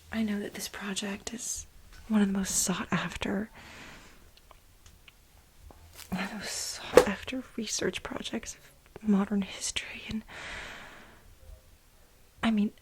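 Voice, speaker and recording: A woman playing a frantic, aggrieved overworked bioengineer in a soft-spoken ASMR role play, with breathy whispering and halting, repeated words for dramatic stress. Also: mid-range voice, lightly acted, poor audio. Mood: pleading, dejected. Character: frantic, aggrieved overworked female bioengineer pleading with her boss.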